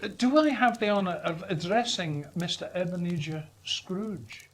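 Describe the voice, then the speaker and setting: A man voicing a polite, earnest gentleman in a storybook reading, speaking formally and courteously. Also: high-pitched, expressive, moderate pace, voice pushed up.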